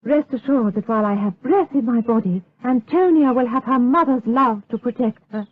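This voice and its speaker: A woman's voice: fairly high pitch, moderate pace, breathy edge, no echo. An actress playing a stern, protective widowed mother in a period audio drama, formal and wary. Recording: dull lo-fi radio drama recording.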